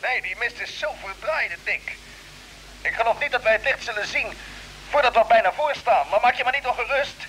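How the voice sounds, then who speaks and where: High-pitched, bright expedition captain. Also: male voice, fairly quick, slight background noise, rough recording. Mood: assured. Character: unworried, brisk male space-expedition captain on Mars. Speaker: actor in a radio serial. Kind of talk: vintage Dutch radio drama acting. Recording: humming vintage radio recording.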